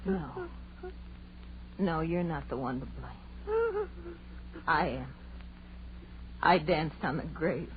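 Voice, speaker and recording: A jittery, defiant young woman in a vintage radio horror play. Her pitch swings widely as she insists with theatrical emphasis. The recording is dull and muffled, with a light hum and hiss. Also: wildly swooping pitch, takes it slowly, long pauses, exaggerated voice. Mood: grieving, weary. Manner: emphatic, dramatic. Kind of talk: 1940s radio horror acting.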